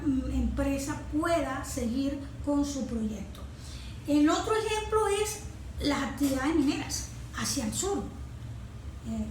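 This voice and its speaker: High-pitched Venezuelan woman explaining. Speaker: environmental consultant, guest. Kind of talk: explanatory interview talk. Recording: roomy echo, some background noise.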